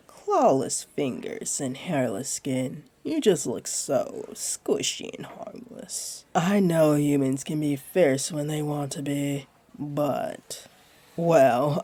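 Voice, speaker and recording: A woman voicing a flirty, comforting female jaguar beastling in a roleplay audio, with a deep, mellow voice and a teasing, soothing manner. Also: husky, exaggerated voice.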